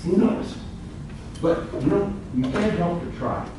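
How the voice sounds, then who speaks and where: A man's voice: high-pitched, expressive, measured pace. A man giving a rambling, folksy, earnest presentation to a live audience in an event room. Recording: echoey room, far from the mic, noisy.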